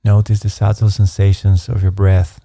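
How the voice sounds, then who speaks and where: A man narrating a guided meditation, giving calm, measured instructions in an unhurried way. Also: fairly low pitch, dry recording, clean studio sound. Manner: slow, calm, measured instruction with soft pacing.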